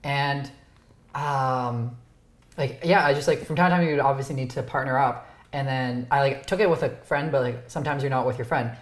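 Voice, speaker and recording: Low-voiced woman in an echoey room. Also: fairly quick. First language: Romanian. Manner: thoughtful, self-deprecating, trails off and restarts. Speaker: host. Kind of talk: casual dinner conversation. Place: home dinner table. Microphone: lapel mic.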